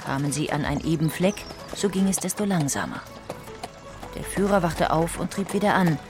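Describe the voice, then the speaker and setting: Low-pitched woman narrating a documentary audiobook. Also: moderate pace, over music. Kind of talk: scripted documentary narration with read quotations.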